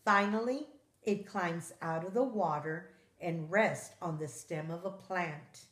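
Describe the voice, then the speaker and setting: A woman reading a children's nonfiction book aloud in a measured, expressive storytelling manner, like a teacher. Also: fairly low pitch, roomy sound.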